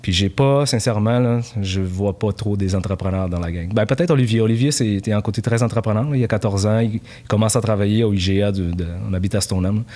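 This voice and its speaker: A man in his forties from Portugal, a company founder chatting as a podcast guest in a relaxed way, with many fillers and restarts. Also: on the low side, fast talker, few pauses. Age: 40s. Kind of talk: casual podcast interview.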